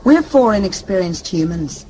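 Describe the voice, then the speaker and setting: A woman's voice: mid-range voice, expressive, moderate pace, darkish tone, close to an everyday voice, poor audio. A woman playing a level-headed, reasoning member of a scavenger crew in a science fiction audio drama.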